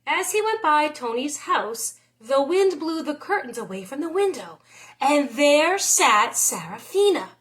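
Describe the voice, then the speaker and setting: A woman with a high voice reads a children's story aloud. Her delivery is expressive and very animated, with pitch rising and falling widely, in the style of a schoolteacher. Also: unhurried. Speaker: teacher reading a picture book aloud.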